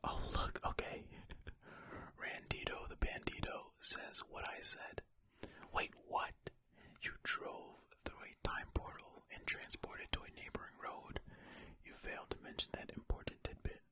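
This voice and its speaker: An ASMR host speaking in a breathy, unvoiced whisper, reading comments aloud and musing on them with casual asides. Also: measured pace, sharp tone.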